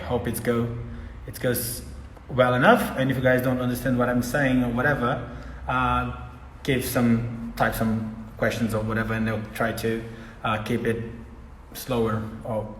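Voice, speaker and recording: A man's voice: medium pitch, fairly bright tone, reverberant, in a large hall, a little hiss or hum, low-quality recording. A man from Brazil with a Brazilian Portuguese accent, hosting a live interview, his native language Portuguese, speaking hesitantly with many fillers.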